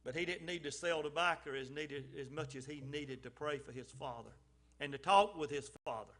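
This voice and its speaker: A man's voice: a little high, pushed and bright. A man speaking as a lay speaker at a church service. His delivery is folksy and conversational, with frequent ums and restarts, and he rises in emphasis on key phrases. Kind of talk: informal church message.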